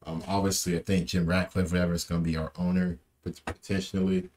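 A man's voice: low voice, little pitch movement, quick pace, darkish tone, a little hiss or hum. A young man giving a casual, rambling post-match football reaction, full of fillers and repeated words, from a small room. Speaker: football fan vlogger.

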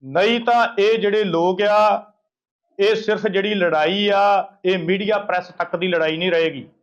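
A high-voiced man gives a political complaint to the press. He is emphatic and indignant, circling back over his points. The sound is a dull field recording. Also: steady tone, normal speed. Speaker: local opposition party leader giving a media interview.

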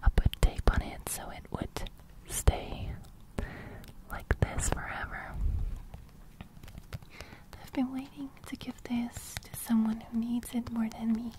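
A young adult woman whispering soothingly for an ASMR roleplay close to the microphone, with a breathy crackle that edges into soft speech. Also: a little high. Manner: soothing, slow, reassuring. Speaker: ASMR host. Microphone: large studio microphone, very close.

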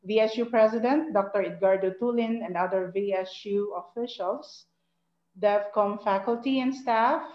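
A woman's voice: mid-range voice, normal speed. Filipino woman academic doing formal welcome speech, roomy echo, kinda dull audio. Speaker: project leader and academic department head. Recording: muffled, echoey room sound.

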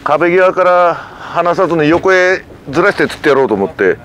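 Lively man chatting over boat noise. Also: on the high side, normal speed. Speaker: fishing show host and angler. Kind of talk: casual on-location fishing commentary. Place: open boat at night, outdoors. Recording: noisy outdoor boat recording, wind. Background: boat and wind noise.

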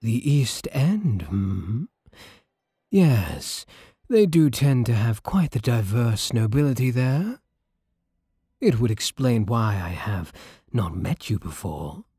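Man as breathy, husky British vampire king. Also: medium pitch, sing-song, slow pace, warm tone, no echo, clean studio sound, exaggerated voice. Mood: courtly, smooth. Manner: silky, formal, commanding. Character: possessive, courtly, menacing vampire king. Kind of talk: slow intimate ASMR roleplay.

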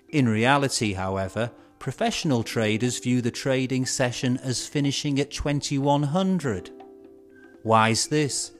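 A middle-aged man presenting scripted instructional narration in a measured, explanatory way, posing rhetorical questions. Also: mid-range voice. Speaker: presenter of an educational film. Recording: music bed behind studio voice. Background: instrumental music.

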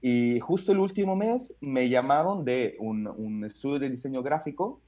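A man's voice: medium pitch, expressive, normal speed, warm tone. A man in his thirties from Italy, speaking with an Italian accent as a guest comedian, telling his story in a rambling way with many fillers and restarts over a video call from his home. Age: 30s. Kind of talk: casual interview chat.

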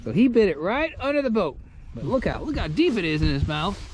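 Excited, lively fishing-vlog guy outdoors. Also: high voice, fairly quick. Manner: excited, folksy, exclaims at catches. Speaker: fishing video host. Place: on a bass boat on a lake outdoors. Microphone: action camera on the boat. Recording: outdoor wind and boat noise.

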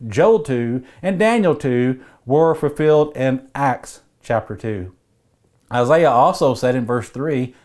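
A man in his forties delivers a prepared sermon, reading scripture aloud in a measured, earnest way at an ordinary pitch. He stands and speaks to the camera in a book-lined study. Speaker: preacher. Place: indoor study with bookshelves.

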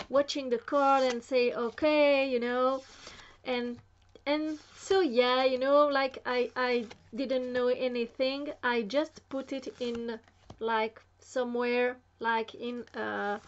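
A woman's voice: high-pitched, muffled recording. A young woman, French her first language, chatting casually to camera as a vlogger, filling her talk with lots of "you know"s, in a small room at home. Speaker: spiritual vlogger.

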